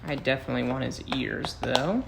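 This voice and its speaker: American male primary teacher, casual and playful demo for young children, clearly noisy home recording. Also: mid-range voice, brisk. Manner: playful, talks to young viewers as he works. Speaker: first-grade teacher. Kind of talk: casual craft demonstration for children.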